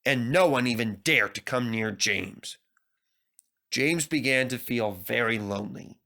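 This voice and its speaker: A man's voice: medium pitch, a little slow, vocal fry, bright, forceful tone. A man narrating a scripted children's story expressively as a storybook reader.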